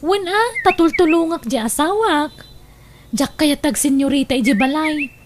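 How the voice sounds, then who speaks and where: Woman acting contented young Ilocano wife, high pitch, upbeat, reassuring, radio drama, faint noise. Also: normal speed, natural voice. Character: cheerful, contented married daughter of a farmer's household.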